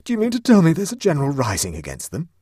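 A man voicing a flustered, indignant middle-aged gentleman who gasps and protests in a high, panicky voice. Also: wildly swooping pitch, racing, breathy edge, no echo, clean studio sound, exaggerated voice. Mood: indignant, panicked. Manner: high-pitched, gasping and panicky.

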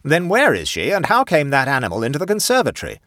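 A man's voice: fairly high pitch, wildly swooping pitch, fast talker, bright, forceful tone, dry recording, studio quality, voice pushed up, exaggerated voice. Man doing a blustering, thundering colonel. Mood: demanding. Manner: thundering, demanding. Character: blustering, indignant English colonel, an outraged husband.

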